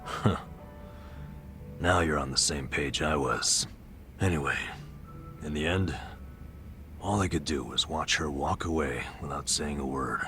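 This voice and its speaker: A man's voice: a bass voice, measured pace, pauses often, a bit bright. An actor playing a burned-out, middle-aged former nurse in a video game, telling his story with an American accent and a wry, self-deprecating weariness. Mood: wry, regretful. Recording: music behind the voice.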